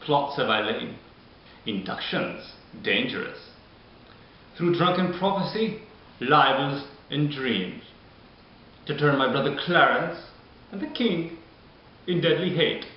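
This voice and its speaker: A man performing a bitter, scheming deformed nobleman in a modern-style Shakespeare monologue, speaking deliberately with dramatic pauses. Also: mid-range voice, expressive. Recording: dull, very echoey room, background hiss.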